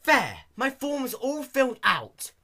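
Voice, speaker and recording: An impatient young cartoon mare, acted by a man, loud and bright. The pitch jumps high with exasperation, every demand pushed out emphatically. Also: wildly swooping pitch, slow pace, heavily acted. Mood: fed-up. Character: brash, impatient, fed-up young female pegasus pony.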